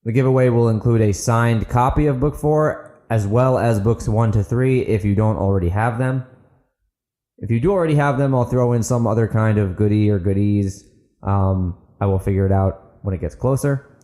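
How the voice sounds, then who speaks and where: A man's voice: fairly low pitch, normal speed, warm tone. A man narrating and hosting an audiobook fiction podcast. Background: guitar music.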